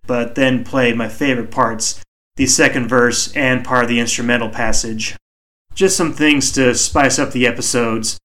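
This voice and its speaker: A man hosting a solo hobby podcast, speaking in a measured, reflective way with a soothing voice, recorded on a home microphone. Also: medium pitch, a bit bright. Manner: measured, reflective, deliberately slow. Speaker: podcast host.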